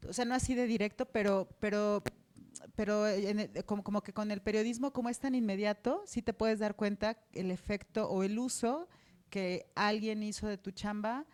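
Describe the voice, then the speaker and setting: A woman's voice: medium pitch, steady tone, normal speed, darkish tone. A woman journalist with a Mexican accent answering informally on a panel, colloquial and full of asides.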